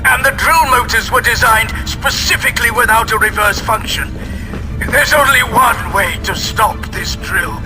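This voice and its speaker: An actor playing a desperate captive scientist, earnest and pleading, in an audio drama. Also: male voice, extremely high pitch, moderate pace, over music, rough recording. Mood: grim despair. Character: desperate, despairing male chief scientist held captive.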